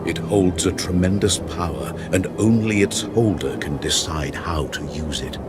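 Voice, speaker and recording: An actor playing a solemn male guide and storyteller in a fantasy game, speaking gravely and with weight. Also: low-pitched, unhurried, heavy background noise. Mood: solemn, counselling. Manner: grave, portentous. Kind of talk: dramatic fantasy game dialogue and narration. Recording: music under the voice.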